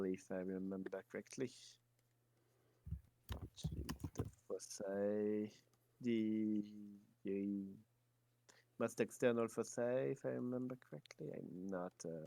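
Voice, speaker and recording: A man with a French accent chats casually over a voice call on a live stream. His voice is gravelly and the sound is dry and close. He insists, then hedges, often restarting his sentences. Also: on the low side, steady tone, slow pace, pauses often, a little mellow, dry recording. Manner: hesitant, insistent, many restarts. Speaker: stream guest.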